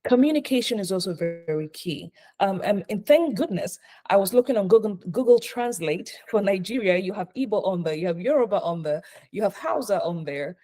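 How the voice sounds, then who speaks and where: Mellow-voiced Ghanaian-raised woman consultant. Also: mid-range voice, animated, a little fast, hardly any pauses. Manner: earnest, repeats points for emphasis. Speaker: hospitality consultant speaking as a guest. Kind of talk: spoken talk in a live online session.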